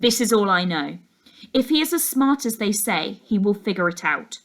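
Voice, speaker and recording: A woman's voice: medium pitch, big pitch swings, normal speed. Woman reading as solemn, desperate schoolboy. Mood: solemn, urgent. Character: shy, desperate schoolboy writing a farewell letter.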